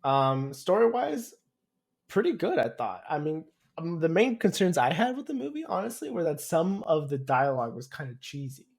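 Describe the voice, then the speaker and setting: A man's voice: fairly high pitch, big pitch swings, moderate pace, creaky, a little mellow. A young man co-hosting a podcast, chatting casually in a rambling, hesitant way full of hedges. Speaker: podcast co-host. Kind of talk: casual chat.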